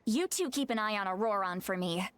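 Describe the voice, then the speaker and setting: A woman's voice: medium pitch, brisk, lightly acted. A woman playing a feisty, exasperated granny in a video game, stern and dramatic as she scolds. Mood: grudging, firm.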